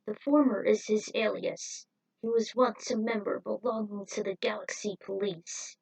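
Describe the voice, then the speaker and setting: A woman's voice: medium pitch, fairly even intonation, normal speed, vocal fry, darkish tone, slightly rough, poor audio. A woman voicing a solemn male robot racer who states facts in clipped declarations. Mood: flat, solemn.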